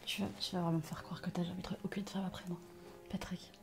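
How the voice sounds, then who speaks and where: A woman's voice: fairly low pitch, flat delivery, fast talker, close to an everyday voice. A woman playing a middle-aged doctor guarding an old secret from her ex, in hesitant whispers for an ASMR roleplay. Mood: hesitant, probing. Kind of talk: French ASMR roleplay acting. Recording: music behind the voice.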